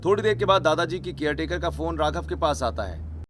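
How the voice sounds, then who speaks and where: A man's voice: on the high side, a little fast, fairly bright tone. A man narrating a scripted cartoon story.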